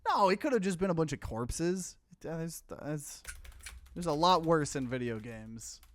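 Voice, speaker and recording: A man's voice: on the high side, expressive, a little slow. A man doing casual let's play commentary, reading game text aloud and reacting to it as a streamer.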